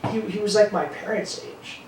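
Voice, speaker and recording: A man in his thirties, an animated storyteller who acts out quotes, chatting casually as a podcast host. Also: sits high, moderate pace, noisy background. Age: 30s. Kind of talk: casual chat. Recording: echoey room, distant mic.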